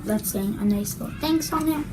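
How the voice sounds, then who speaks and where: A young woman reviewing slime in a casual vlog, chatty and apologetic, her voice worn and her throat scratchy. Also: mid-range voice. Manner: apologetic, chatty, drawn-out words. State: losing her voice, clearing her throat. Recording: loud background noise.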